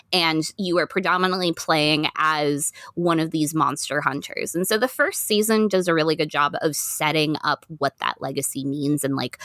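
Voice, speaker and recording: Lower pitched woman on a podcast, measured analysis, articulate, very dry sound. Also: a bit bright, no echo. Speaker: podcast co-host. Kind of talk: analytical discussion.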